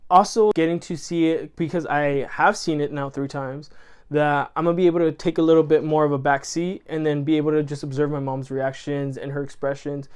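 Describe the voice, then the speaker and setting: Earnest, rambling man with a smooth voice. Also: a little high, steady tone, a little fast, a little mellow. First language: Spanish. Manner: rambling, earnest and effusive, with many self-corrections. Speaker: channel host. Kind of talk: casual chat to camera.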